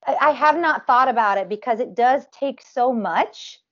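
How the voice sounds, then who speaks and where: A woman's voice: fairly high pitch, normal speed, fairly bright tone, muffled recording. A woman with a nasal voice, a performer talking casually in an interview, full of restarts and fillers. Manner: talks in long runs with many restarts and fillers. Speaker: tribute performer guest.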